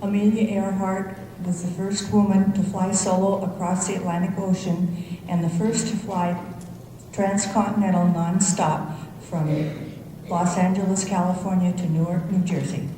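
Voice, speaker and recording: Mellow, monotone older woman in echoey hall. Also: medium pitch, moderate pace. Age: 60s. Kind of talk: short prepared speech to a gathering. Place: large indoor hall. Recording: very echoey hall, faint noise.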